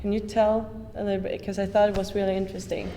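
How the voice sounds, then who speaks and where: An eighteen-year-old woman from Sweden, a native Swedish speaker, asking a question in a halting way full of "uh"s during a casual discussion. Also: medium pitch, level tone, warm tone. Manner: halting, many "uh"s. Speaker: exchange student. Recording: reverberant hall with faint noise.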